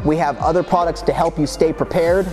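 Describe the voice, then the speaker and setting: Echoey male product pitch, highish voice. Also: steady tone, a little fast. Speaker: company representative presenting a product. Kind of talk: promotional pitch to camera. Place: dim gym weight room. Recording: long echoing reverb, faint music.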